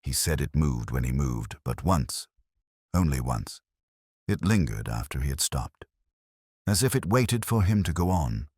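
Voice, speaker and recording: An anxious, well-mannered young woman as a deep-voiced man reads her. The voice is husky and moves up and down in pitch, with hesitant, formal politeness suited to a detective audiobook. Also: very animated, unhurried, darkish tone, no room sound, clean studio sound, exaggerated voice. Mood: worried, earnest.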